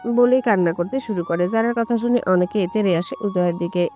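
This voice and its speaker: Female storyteller, medium pitch, scripted romantic narration, soft music underneath. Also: a little fast.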